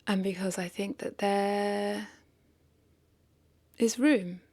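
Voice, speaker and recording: A woman's voice: mid-range voice, steady tone, slow, a little mellow. A woman talking casually and confessionally to camera as a vlogger, hesitant and reflective, questioning herself and repeating phrases.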